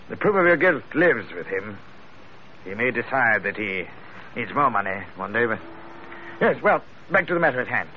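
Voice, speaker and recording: A muffled, faintly noisy old radio recording of a man playing a wily gentleman investigator. He is pompous and deliberate, with florid phrasing and very animated pitch that rises and drops theatrically. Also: close to an everyday voice. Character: wily, pompous British investigator posing as a smooth blackmailer. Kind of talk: 1940s-50s radio drama acting.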